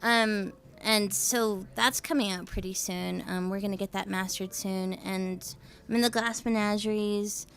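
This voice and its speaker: A woman's voice: mid-range voice, steady tone, measured pace, creaky, few pauses. Woman musician guest; chatty, loose, lots of "um" and "like". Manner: chatty, many fillers and restarts. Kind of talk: casual interview chat. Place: radio show studio.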